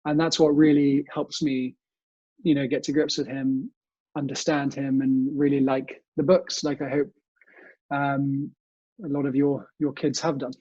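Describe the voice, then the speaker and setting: Husky, mellow young British man. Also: medium pitch, level tone, measured pace, dry recording, muffled recording. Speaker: interview guest. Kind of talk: conversational interview answers, reminiscing. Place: remote recording from home.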